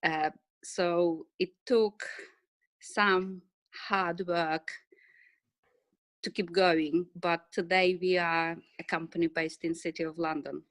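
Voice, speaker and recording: A middle-aged woman with an Eastern European accent, answering interview questions casually in short, halting phrases. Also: lowish voice, fairly even intonation, no echo. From Ukraine and Russia. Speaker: interview guest, financial services business owner.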